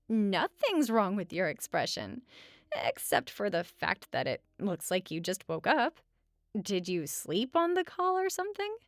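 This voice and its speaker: A woman playing a sarcastic but caring roommate in a conversational audio roleplay, talking casually with wry teasing and hesitant pauses. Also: fairly high pitch, fairly bright tone, no room sound, studio quality, exaggerated voice. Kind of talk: conversational comfort audio roleplay at regular speaking volume.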